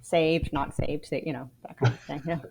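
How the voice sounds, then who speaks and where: A woman's voice: deep voice, fairly even intonation, moderate pace, gravelly, a little mellow. A Canadian woman in her forties, a podcast guest, telling her life story frankly and with dry wry humor. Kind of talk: casual interview storytelling.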